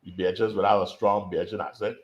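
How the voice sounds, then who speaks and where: Man, low voice, explaining. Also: little pitch movement. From Barbados. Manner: measured, explanatory, repeats words while thinking. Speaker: invited speaker, political scientist. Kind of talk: conference talk over video call. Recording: dull lo-fi call audio.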